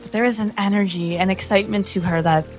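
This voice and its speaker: A woman playing a tabletop game, narrating her character's fantasy story with expressive, dramatic phrasing. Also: mid-range voice, quick pace. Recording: music under the voice. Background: music.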